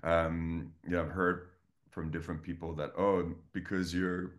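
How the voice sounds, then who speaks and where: A man's voice: sits low, little pitch movement, measured pace. A man from Estonia, a guest coach, talking earnestly and effusively in a casual interview over a video call. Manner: earnest, effusive, many restarts.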